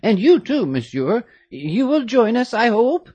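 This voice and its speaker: A narrator voicing a fussy, fiercely loyal old woman who once nursed the family, high-pitched and chattering in exclamations. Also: sing-song, unhurried, dark tone, breathy edge, no room sound, highs cut off. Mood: fussing, warm. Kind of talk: audiobook character reading.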